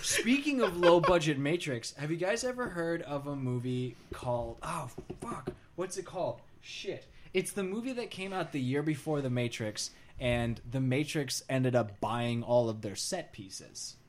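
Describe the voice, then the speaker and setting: An expressive man with a slightly raised pitch talks as a casual podcast co-host. He banters jokingly and loosely, with plenty of movement in his intonation. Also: unhurried, few pauses, a little hiss or hum. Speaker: pop culture podcast co-host. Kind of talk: casual group chat.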